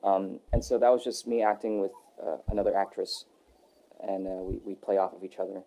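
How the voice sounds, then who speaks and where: A young adult man answering interview questions in a relaxed, rambling way, with many fillers and restarts. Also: low-pitched, fairly quick. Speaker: voice actor guest. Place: Texas.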